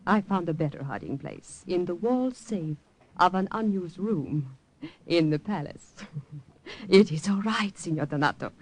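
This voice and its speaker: A woman's voice: lowish voice, animated, unhurried, rough recording. An actress playing a cunning underground woman, cool and teasing.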